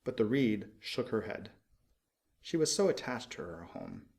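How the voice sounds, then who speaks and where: A man narrates a children's storybook in a mid-pitched voice. His reading is expressive and scripted, with widely swinging intonation. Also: a little slow. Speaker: audiobook narrator.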